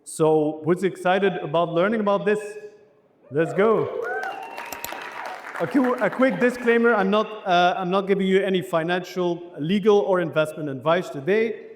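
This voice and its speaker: A man's voice: sits high, moderate pace, some chatter behind. A man with a Belgian accent giving an enthusiastic motivational business presentation to a live audience, as a keynote speaker. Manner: enthusiastic, rallying the audience with questions. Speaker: keynote speaker, landscape architect. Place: indoor event hall, speaking on stage. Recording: reverberant hall sound.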